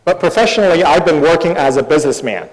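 The man you would hear from the pulpit of a reverberant church on Sunday morning, middle-aged, pitched a little high, pausing after each sentence. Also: expressive. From born in Taiwan, grew up overseas. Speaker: guest preacher. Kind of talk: sermon given in short phrases for interpretation. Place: large reverberant church hall. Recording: echoey hall with long reverb.

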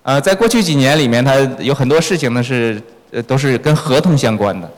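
A man's voice: mid-range voice, expressive, brisk. A man interpreting a sermon phrase by phrase in a church. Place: large reverberant church hall. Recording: echoey hall with long reverb.

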